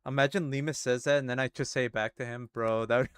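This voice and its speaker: A man's voice: mid-range voice, fairly even intonation, fast, clear, resonant tone, no echo, clean studio sound. Laid-back man in his thirties streaming. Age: 30s. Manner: laid-back, swears and laughs easily, talks to chat. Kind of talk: casual live-stream chat while gaming. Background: faint music.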